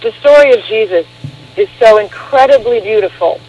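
A woman's voice: fairly high pitch, expressive, moderate pace, a little mellow, husky, poor audio. A woman guest on a radio interview, telling her story emphatically and with animation.

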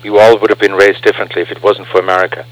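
Earnest man, low and dry. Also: little pitch movement, racing, no room sound, low-quality recording. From Austria. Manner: earnest, explains with rhetorical questions. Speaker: guest. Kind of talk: radio interview.

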